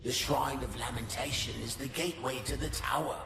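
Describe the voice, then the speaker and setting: An actor playing a doomed wandering spirit in a fantasy game, gravelly, grim and theatrical, warning trespassers. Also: male voice, mid-range voice, brisk, echoey room, music in the background, lightly acted. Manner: ominous, theatrical. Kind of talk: dramatic fantasy video game acting.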